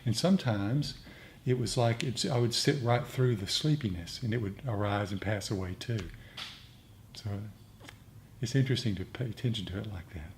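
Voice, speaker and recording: A man gently instructing a meditation group in an informal, conversational way. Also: lowish voice, normal speed. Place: indoor meditation group meeting. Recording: clearly noisy room recording.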